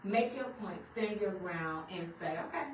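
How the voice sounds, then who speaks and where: A woman with a raspy voice co-hosting a radio talk show, speaking firmly and casually. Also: fairly low pitch, expressive, moderate pace, echoey room, recorded from a distance, a little hiss or hum. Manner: firm, with lots of affirming mm-hmms.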